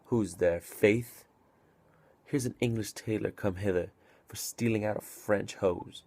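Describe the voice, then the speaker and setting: A grumbling old-world gatekeeper, acted by a man, low and creaky in voice. He is sardonic and exclamatory, mockingly welcoming sinners in a Shakespearean stage monologue. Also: a little slow, darkish tone. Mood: mocking, irritated.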